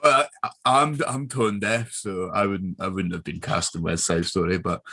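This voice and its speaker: A man's voice: low-pitched, fairly even intonation, normal speed, pushed and bright. A young man with a Scottish accent, chatting casually and bantering in a playful, joking way as a guest. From Scotland. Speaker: actor, podcast guest.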